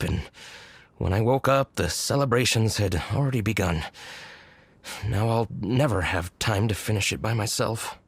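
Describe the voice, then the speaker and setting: A man's voice: on the low side, husky, no room sound, exaggerated voice. A man voicing a sheepish, apologetic town drunkard in a video game, hesitant and bumbling.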